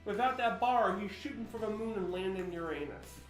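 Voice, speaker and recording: A man with a lisp co-hosting a podcast in casual chat, rambling and jokey with quick tangents. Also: high-pitched, expressive, reverberant, loud music behind the voice, rough recording. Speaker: podcast co-host.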